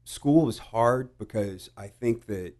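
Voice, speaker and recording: A middle-aged American man telling personal stories casually, halting and reflective, with some swearing. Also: medium pitch, a little mellow. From United States. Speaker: podcast guest. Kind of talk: casual conversational storytelling.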